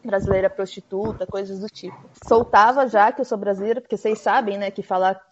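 A woman's voice: medium pitch, fairly even intonation, quick pace, a little hiss or hum. A Brazilian woman talking casually as a guest about hitchhiking, peppering her speech with fillers. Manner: chatty, frequent "tipo" fillers. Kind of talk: casual conversation. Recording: dull lo-fi sound.

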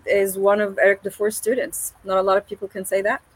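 A woman, a paramotor pilot speaking as an interview guest, hesitant with many pauses and restarts. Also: medium pitch, flat delivery, husky, poor audio. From Egypt. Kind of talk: casual video-call interview.

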